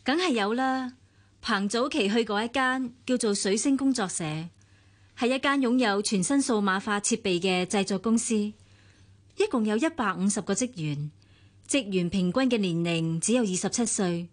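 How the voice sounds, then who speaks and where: A woman's voice: medium pitch, normal speed, lightly acted. A woman playing a jealous, composed companion. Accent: Hong Kong Cantonese. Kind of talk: radio drama acting.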